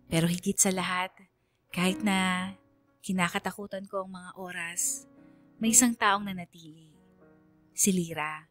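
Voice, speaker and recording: A woman performing solemn, measured first-person narration as a haunted letter sender in a radio drama. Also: lowish voice, natural voice.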